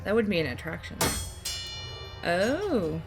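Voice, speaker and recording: A woman's voice: lowish voice, very animated, slow, rough recording. A woman doing casual gameplay commentary as a YouTuber, rambling along in a chatty, enthusiastic way. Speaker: gaming YouTuber. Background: game music.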